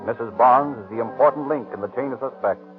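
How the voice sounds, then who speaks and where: A man narrating a 1930s radio crime drama in a measured, matter-of-fact way. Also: mid-range voice. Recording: tinny old radio broadcast with faint music.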